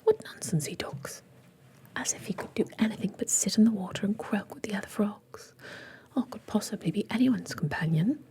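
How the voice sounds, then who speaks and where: A woman voicing a spoiled young storybook princess in a breathy whisper, airy and haughty, with a British accent. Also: medium pitch, expressive, moderate pace, darkish tone, close to an everyday voice. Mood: scornful.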